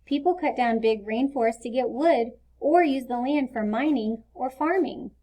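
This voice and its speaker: A woman's voice: a little high, normal speed, mellow, natural voice. A woman reading the part of a patient, caring mother who explains things in a children's storybook. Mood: serious. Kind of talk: children's storybook read-aloud.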